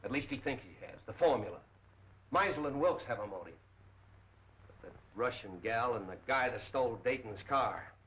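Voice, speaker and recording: A man's voice: medium pitch, animated, normal speed, a bit bright, close to an everyday voice. A man playing a brusque, impatient police captain with an American accent and clipped delivery, in a 1930s film. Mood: exasperated. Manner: curt, clipped questioning. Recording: muffled old 1930s film soundtrack.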